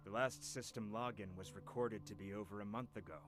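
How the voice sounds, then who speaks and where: Male actor as game's academic researcher, deep-ish voice, measured, formal exposition over clear background music. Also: fairly even intonation, natural voice. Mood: measured, explanatory. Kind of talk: scripted game voice acting.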